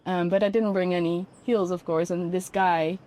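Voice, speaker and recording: A woman's voice: fairly low pitch, fairly even intonation, moderate pace, a little mellow, slight background noise. A woman in her twenties from the Netherlands with a Dutch accent, chatting informally as an interview guest and peppering her talk with "like". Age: 20s. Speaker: podcast guest, fashion model. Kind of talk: casual interview chat.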